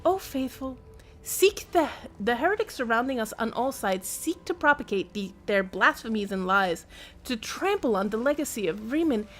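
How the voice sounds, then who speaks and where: A woman's voice: on the high side, animated, moderate pace, a bit bright. A woman game streamer who reads in-game text aloud and adds casual commentary.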